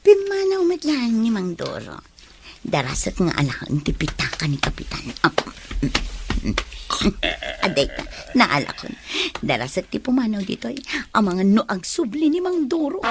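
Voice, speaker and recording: A woman's voice: mid-range voice, wildly swooping pitch, moderate pace, vocal fry, slight background noise. A woman playing a coaxing, scheming young bar waitress in a comedy radio drama, with a sing-song delivery. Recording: music playing behind the voice.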